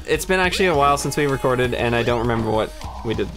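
A man's voice: medium pitch, animated, quick pace. Casual male gamer over game music. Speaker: let's play co-host. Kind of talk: casual gameplay chat.

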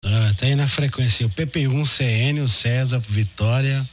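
A man's voice: mid-range voice, moderate pace. A Brazilian man chatting casually as an amateur radio operator. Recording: dull narrowband radio audio with hum, noise.

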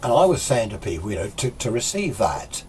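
An older British man in his sixties with a lowish voice talks off the cuff in a chatty, opinionated way. He rambles through an informal hobby tutorial, with clear noise behind him in a small workshop. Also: sing-song, brisk, darkish tone. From United Kingdom. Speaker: amateur radio hobbyist presenting a tutorial. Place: small indoor radio workshop.

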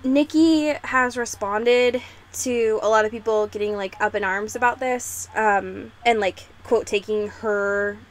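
A woman's voice: mid-range voice, unhurried. A young woman hosting a podcast in a casual, sweary way, peppering her talk with "like". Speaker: podcast host.

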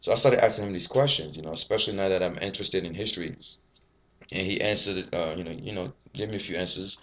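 A man's voice: low-pitched, fast, a bit bright. A man in his twenties, born in Ivory Coast with French as his first language, talking candidly to a webcam in a small room, rambling and swearing freely. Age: 20s. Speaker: fitness vlogger. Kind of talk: casual personal vlog to camera. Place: small bare indoor room. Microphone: webcam at desk.

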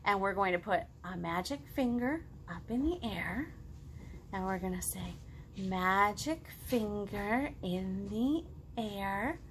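A woman in her thirties hosting a children's storytime, reading and chatting to young kids in an expressive, sing-song, exaggerated way. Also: medium pitch, takes it slowly, a little hiss or hum. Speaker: children's librarian, storytime host. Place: living room at home, indoors.

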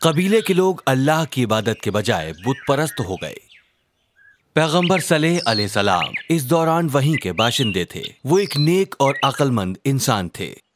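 Expressive male storyteller, lively intonation. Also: medium pitch, noisy background. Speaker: storyteller narrator. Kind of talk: scripted children's religious story narration.

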